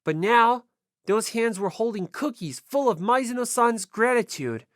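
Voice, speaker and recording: A woman reading first-person story narration for an audiobook in an expressive style. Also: a little high, a little slow, no echo, studio quality.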